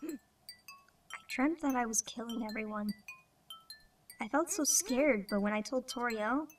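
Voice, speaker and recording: A woman voicing a soft-spoken, sincere human child in a comic dub. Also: on the high side, a little slow, distant mic, slight background noise, close to an everyday voice. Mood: sad, sincere.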